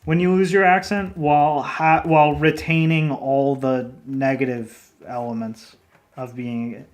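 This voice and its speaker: A man's voice: a little high, little pitch movement, measured pace. A man who develops games, chatting loosely with his livestream viewers in a rambling way, laughing often and swearing casually. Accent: non-native accent in Japanese. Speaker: indie game developer streaming. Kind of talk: casual livestream chat, answering chat. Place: lives in Japan as a foreigner.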